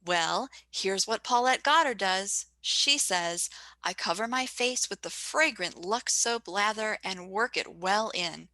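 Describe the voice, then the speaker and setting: A woman's voice: medium pitch, animated, slow, sharp tone, no room sound, exaggerated voice, rough recording. A woman playing a chatty, gushing Hollywood reporter delivering 1940s-style radio advertising patter. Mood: gushing, friendly.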